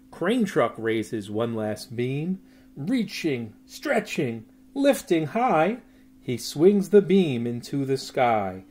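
A man's voice: medium pitch, slight background noise. A middle-aged man reading a rhyming children's picture book aloud in a sing-song way, drawing out words. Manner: sing-song, drawn-out words with soft hushed goodnights. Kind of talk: expressive read-aloud of a rhyming children's bedtime story. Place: small room at home.

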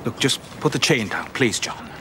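An actor as uneasy, animated Irish businessman. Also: mid-range voice, normal speed, background noise, lightly acted. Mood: nervous placating. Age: middle-aged. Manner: pleading, appeasing. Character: uneasy, placating middle-aged nightclub owner. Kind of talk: television drama acting. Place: outdoor car park.

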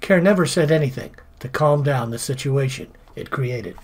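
A man's voice: medium pitch, moderate pace, slightly rough. A man reading scripted, polemical voice-over narration.

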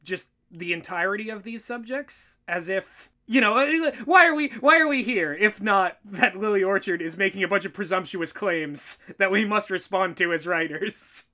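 A man co-hosting a casual reaction commentary in an animated, exasperated manner. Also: high voice, moderate pace, hardly any pauses. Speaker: co-host, writer reacting to writing tips.

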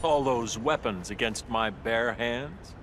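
A man's voice: mid-range voice, expressive, slow pace. An actor playing an earnest adult male superhero in video game fight banter, speaking level and lightly teasing. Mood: steady. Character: heroic adult male superhero, calm and steady. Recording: game audio over loud background noise.

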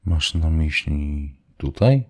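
A man playing a hesitant, understated henchman guard in a comedic dub, speaking in a deadpan way. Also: extremely low pitch, takes it slowly, exaggerated voice. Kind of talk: comedic dubbed dialogue.

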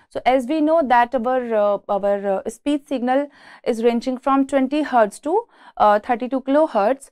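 A woman's voice: a little high, a little mellow, clean tone, dry recording, studio quality. A woman with an Indian accent giving an engineering lecture from slides, explaining step by step and often repeating words as she goes. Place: indoors, beside a presentation screen.

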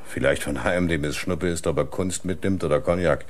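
Guy acting older crook in a radio play, super deep voice, dry and wry, a bit defensive. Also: steady tone, a little fast, lightly acted. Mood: wistful, wry. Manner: wry, sardonic. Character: aging male art thief in prison, wry and defensive. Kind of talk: German radio crime drama acting.

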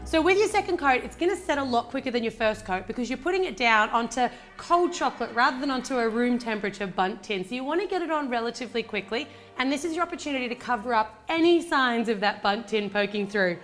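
A woman's voice: fairly high pitch, lively intonation, quick pace, few pauses, fairly bright tone, faint music behind. A woman in her thirties with an Australian accent, hosting a cooking demonstration in a home kitchen and chatting through each step. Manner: chatty, upbeat step-by-step instructions. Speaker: baking channel host.

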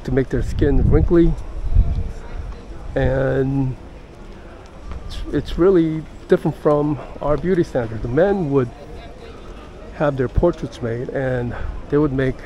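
An older man giving casual walking-tour narration as a travel vlogger, halting and pausing mid-sentence. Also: medium pitch, warm tone, muffled recording. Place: outdoors in busy city streets and squares. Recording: outdoor city street noise.

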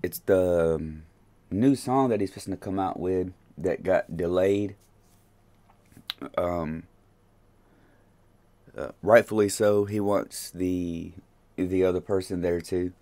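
A man's voice: deep voice, warm tone. A man in his late thirties, hosting a music reaction in a small room at his webcam, rambling with lots of fillers. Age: late 30s. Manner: hesitant, rambling, many fillers. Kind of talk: casual reaction commentary.

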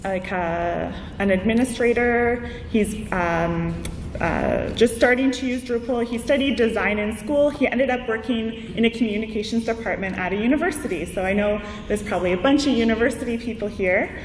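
A woman's voice: fairly high pitch, normal speed. Woman giving a casual conference talk, explaining stuff conversationally, echoey room and kinda far from the mic. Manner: conversational, explaining through examples. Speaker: conference keynote speaker. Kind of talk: informal slide presentation to an audience. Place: large indoor conference room. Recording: echoey hall, distant mic, background noise.

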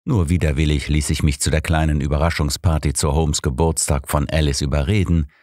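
A man's voice: rumbling low, fairly even intonation, fairly quick, darkish tone, no room sound, clean studio sound. A man reading a scripted story aloud as an audiobook narrator, in the first person.

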